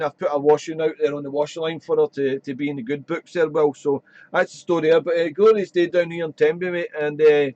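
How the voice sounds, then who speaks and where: Ham radio guy from wales rambling on air, bit croaky, dull dry recording. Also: on the high side, a little mellow, no echo. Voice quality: slightly croaky. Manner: chatty, rambling, fills with "there". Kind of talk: casual radio chat. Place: home radio shack.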